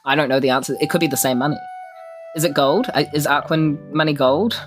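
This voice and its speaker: A woman's voice: low voice, steady tone, fairly quick, soft background music. A woman with a New Zealand accent chatting and improvising playfully in a tabletop role-playing game, in a rambling, jokey way. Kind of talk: casual improvised role-play chat.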